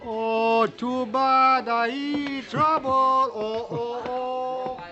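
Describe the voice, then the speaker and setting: High-pitched, excitable hotel porter. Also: male voice, drawn-out words, music in the background, heavily acted. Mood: fussing, exclaiming. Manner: broken English, exclaiming. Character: excitable, friendly Chinese hotel porter called Hey Boy. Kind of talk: 1950s radio drama acting. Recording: muffled vintage radio recording.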